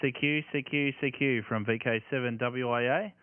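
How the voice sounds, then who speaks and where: A man's voice: medium pitch, steady tone, moderate pace. An Australian man working as an amateur radio operator, making calls and chatting casually on a livestream. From Tasmania, Australia. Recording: tinny band-limited audio with loud radio hiss. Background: radio static and hiss.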